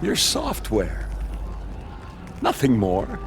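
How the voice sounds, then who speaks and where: A gravelly, raised male voice with big swings in pitch, music behind it. a cold, contemptuous official in a video game. He is emphatic and scornful, spelling things out deliberately. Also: huge pitch swings, full character voice. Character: cold, contemptuous male authority defending mass surveillance. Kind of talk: dramatic video game cinematic acting.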